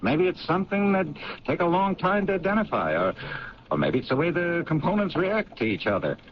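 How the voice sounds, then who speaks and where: An eager police lab sergeant, stumbling over his words as he rushes through a theory. His pitch swings wildly up and down. The sound is dull lo-fi old radio with a faint hiss. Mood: explaining. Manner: animated, halting, explaining with enthusiasm. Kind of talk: 1970s radio drama acting.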